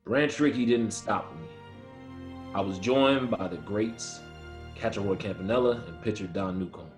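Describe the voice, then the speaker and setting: Man acting a low-voiced, proud ballplayer. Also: moderate pace, reverberant, soft background music, close to an everyday voice. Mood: composed, reflective. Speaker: actor at a table read. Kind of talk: dramatic first-person script reading.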